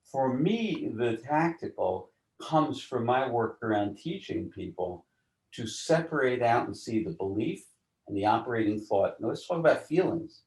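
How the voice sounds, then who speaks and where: A man explaining ideas as an interview guest in a deliberate, teacherly way, often posing rhetorical questions. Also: mid-range voice, very animated, darkish tone. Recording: roomy, echoing voice.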